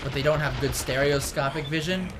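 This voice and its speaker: A man's voice: fairly high pitch, brisk. A man co-hosting a gaming show, chatting casually in a goofy, giggly way and wandering off on tangents. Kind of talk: casual let's-play banter. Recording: game music behind.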